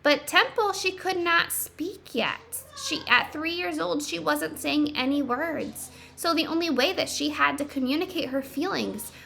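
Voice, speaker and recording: Woman, higher-pitched and unhurried, as a teacher reading a storybook to children, emphatic, with explanatory asides and questions. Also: gravelly, pushed and bright, a little hiss or hum. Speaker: teacher reading a picture book aloud to children. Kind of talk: expressive children's read-aloud with explanatory asides.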